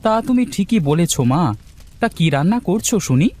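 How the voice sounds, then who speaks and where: A man's voice: high-pitched, animated, moderate pace, warm tone. A man playing an affectionate, dutiful elder son in a fairy-tale cartoon. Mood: cheerful, fond. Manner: eager, affectionate.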